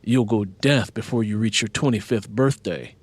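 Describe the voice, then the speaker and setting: A man playing a stern, exasperated father in an audiobook, booming and demanding. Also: normal speed, breathy edge, dry recording. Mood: stern, annoyed. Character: stern, exasperated father scolding his teenage daughter.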